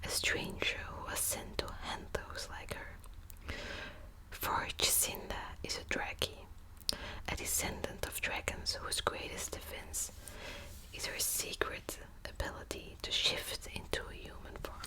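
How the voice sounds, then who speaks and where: A woman's voice: unhurried, poor audio. A woman whispering close to the mic in an ASMR book video, hesitant with many ums and restarts, also reading passages aloud. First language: Dutch. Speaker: ASMR creator.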